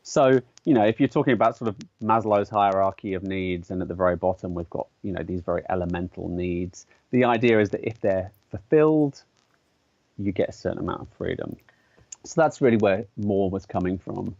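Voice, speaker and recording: Low-voiced man explaining ideas thoughtfully. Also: brisk, gravelly, darkish tone, no room sound, muffled recording. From English-speaking country. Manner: thoughtful, hesitant, many fillers and restarts. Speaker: artist and educator, guest. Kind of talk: conversational interview explaining ideas.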